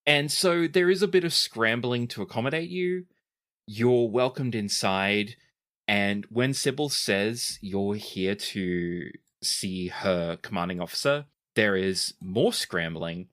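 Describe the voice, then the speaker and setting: A woman improvising narration as the game master of a tabletop game, descriptive and halting. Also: extremely low pitch, fairly bright tone.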